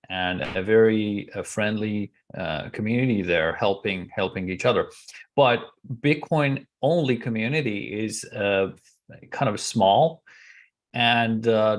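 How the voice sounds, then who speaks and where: Low-voiced man. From Iran. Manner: measured, hesitant, frequent pauses with uh. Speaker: guest. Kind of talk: podcast interview answer.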